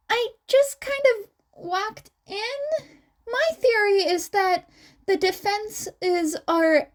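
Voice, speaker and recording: Storybook teenage boy, played by a woman: very high, animated, hesitant, excitable and stubborn. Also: exaggerated voice.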